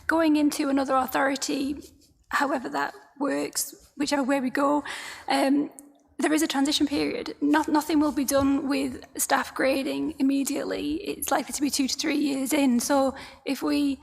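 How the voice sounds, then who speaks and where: A woman council officer with a croaky voice, explaining a report at a formal meeting, hesitant, with many fillers and restarts. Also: high voice, flat delivery, normal speed, in a large hall.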